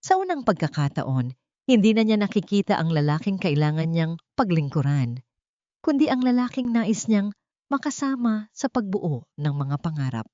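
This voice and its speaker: Lady doing dramatic audiobook narration, lowish voice, kinda muffled lo-fi sound. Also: darkish tone, no echo. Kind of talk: dramatic scripted story narration.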